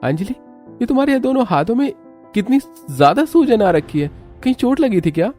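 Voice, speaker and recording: A man acts out a soft-hearted young cartoon husband. The voice is lifted well above the narrator's, mellow and concerned, reassuring in manner, with music underneath. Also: extremely high pitch, very animated, a little fast, voice pushed up. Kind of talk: Hindi cartoon dialogue.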